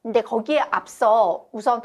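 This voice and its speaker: A woman's voice: a little high, normal speed. A woman hosting a webinar, introducing the topic and politely guiding the discussion with questions. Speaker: webinar host. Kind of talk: presenting and moderating a webinar.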